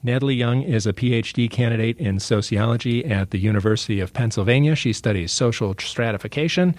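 A man hosting a public radio interview show, asking measured questions with restarts. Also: medium pitch, darkish tone, dry recording. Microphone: studio microphone.

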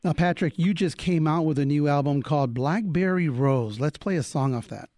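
A man hosting a radio interview, asking guests brisk questions and moving the show along. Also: fairly high pitch, a little mellow, dry recording, studio quality. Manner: brisk, steers the conversation. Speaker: radio host.

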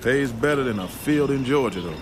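A man's voice: mid-range voice, lively intonation, brisk, lightly acted. A man playing a plainspoken, proud stockyards worker in a radio drama. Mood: proud, content. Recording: music and city street behind.

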